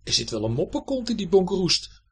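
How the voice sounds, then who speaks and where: A man's voice: high-pitched, sing-song, a little fast, breathy edge, voice pushed up, exaggerated voice. A man voicing a bold, curious young boy in a children's adventure story. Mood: hushed, excited. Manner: hushed hissing to bold calling.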